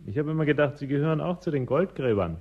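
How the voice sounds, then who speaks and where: An actor playing a nosy, sardonic local speculator in a radio play, familiar and probing. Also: male voice, fairly high pitch, lively intonation, quick pace, breathy edge, phone-quality sound. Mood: curious, sly. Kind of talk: German radio play acting.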